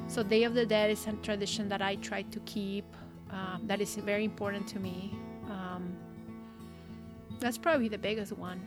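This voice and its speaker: A woman from Mexico speaks, a writer recounting her own story in an earnest, reflective way. She also recites a poem. Music plays loudly under her voice the whole time. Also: mid-range voice, steady tone, moderate pace. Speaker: writer and journalist. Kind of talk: personal storytelling and poetry reading.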